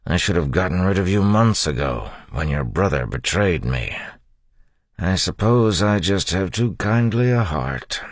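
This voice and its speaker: A man's voice: sits low, lively intonation, unhurried, dry recording, studio quality, pitched above the performer's own voice. A man voicing a fat, arrogant, cruel male crew leader who talks in a dismissive, sneering way. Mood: menacing. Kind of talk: audiobook character voice.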